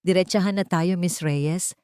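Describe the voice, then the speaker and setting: A woman voicing a cold, wealthy matriarch who speaks softly with menacing, controlled authority in an audio drama. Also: on the low side, moderate pace, clear, resonant tone, dry recording, clean studio sound, natural voice. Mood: cold, threatening. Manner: soft-spoken, controlled, commanding.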